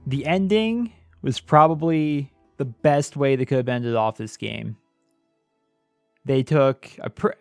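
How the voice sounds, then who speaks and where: Male gaming host, conversational and enthusiastic, medium pitch, dry close sound, faint music underneath. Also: no room sound. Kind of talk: casual game commentary.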